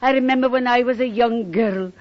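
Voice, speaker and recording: A woman's voice: on the high side, fairly quick, lightly acted. An actress playing an older Irish landlady with a brogue, chatty and indignant, in 1940s radio sitcom acting. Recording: dull vintage radio with audience.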